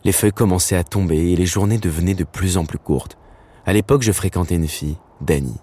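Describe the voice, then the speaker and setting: A man in his thirties playing a dreamy, stubborn aspiring screenwriter who narrates his own story in a fiction podcast, with a husky voice. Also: deep voice, brisk, dark tone. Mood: nostalgic. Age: 30s. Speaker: narrator and lead character.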